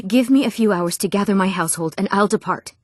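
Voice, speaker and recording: A woman's voice: medium pitch, quick pace, a little mellow, no echo, natural voice. Eager young fantasy townswoman played by an actress, mid-range voice, earnest game dialogue, recorded dry. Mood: grateful, pleading. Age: young adult.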